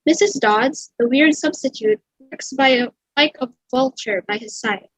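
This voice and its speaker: A woman playing a wry, ominous narrator in a scripted table read, with a husky voice. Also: sits high, big pitch swings, a little slow, full character voice, poor audio.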